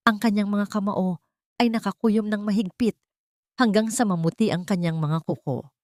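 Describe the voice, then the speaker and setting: A woman narrating a dramatic audio story in a measured, scripted way. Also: mid-range voice, a little mellow, no room sound, studio quality.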